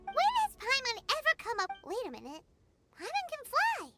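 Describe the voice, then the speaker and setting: A woman voicing a tiny excitable flying fairy companion in an anime video game, squeaky and full of exclamations. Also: normal speed, full character voice. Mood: chatty, huffy. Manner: squeaky, exclamatory, refers to self in third person. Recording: loud game music behind. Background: loud game music.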